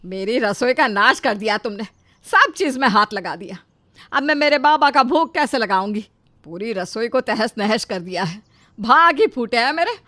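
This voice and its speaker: Fussy, status-anxious mother-in-law for a cartoon story, played by a woman; raised pitch, dramatic complaining, dry recording. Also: expressive, normal speed, sharp tone, no echo. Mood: indignant, lamenting. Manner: scolding, lamenting, dramatic.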